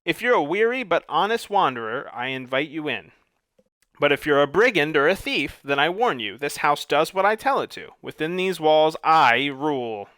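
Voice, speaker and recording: A man's voice: on the high side, expressive, normal speed, a bit bright, no room sound. Man doing a blustering bear-headed monster. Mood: grudging. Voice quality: roaring, blustering.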